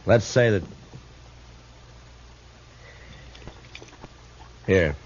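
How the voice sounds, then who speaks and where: An actor as a deep-voiced, stern Old West lawman. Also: slight background noise, lightly acted. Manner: terse, commanding, unhurried. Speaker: lead actor and narrator. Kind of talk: 1950s radio western acting. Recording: dull old radio recording.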